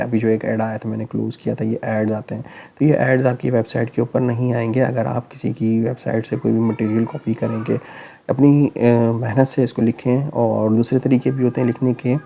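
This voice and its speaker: A man with a sore, hoarse throat, walking through a computer tutorial step by step in a relaxed, explaining way, often pausing to check in. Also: mid-range voice, slight background noise. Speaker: tech tutorial host. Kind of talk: screen-recorded tutorial narration.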